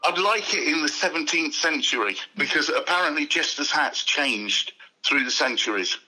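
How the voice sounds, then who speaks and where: Jolly man with a bright sing-song patter. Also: a little high, lively intonation, moderate pace, low-quality recording. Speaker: magazine street vendor. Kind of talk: casual storytelling.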